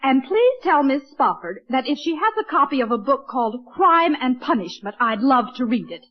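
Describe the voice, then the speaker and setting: A woman's voice: high-pitched, very animated, moderate pace. An actress playing a kindly but fussy, politely insistent neighbor lady in an old-time radio sitcom. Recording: dull vintage radio recording.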